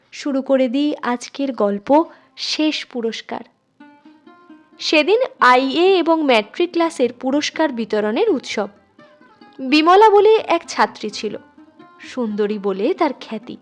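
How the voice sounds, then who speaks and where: A woman's voice: sits high, expressive, a little slow, long pauses, faint music behind. A woman narrating a literary short story expressively, as a storyteller addressing listeners. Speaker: storyteller, channel host.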